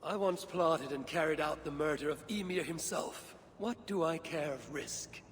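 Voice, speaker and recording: A man's voice: fairly high pitch, fairly bright tone, natural voice. Commanding, proud Norse god acted by a man. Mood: bold, determined. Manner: imperious, deliberate, formal. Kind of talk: video game cutscene acting. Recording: long reverb, faint background noise.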